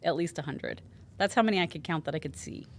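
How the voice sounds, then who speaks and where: A woman's voice: sits low, fast talker, slight background noise. A woman in her forties, congested, chatting casually as a podcast co-host. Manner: chatty, laughs easily. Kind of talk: casual chat.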